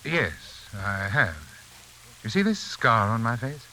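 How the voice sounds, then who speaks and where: An actor playing a suave, sinister brother-in-law who runs a sanitarium, in formal, theatrical 1940s radio suspense style. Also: male voice, mid-range voice, big pitch swings, slow, sharp tone, full character voice, low-quality recording. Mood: suavely evasive. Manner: theatrical, smoothly menacing, formal. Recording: hissy 1940s radio recording, rain behind.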